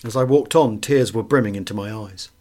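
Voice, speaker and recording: A man's voice: fairly low pitch, brisk, a little mellow. A man narrating a wartime memoir as a scripted audiobook reading.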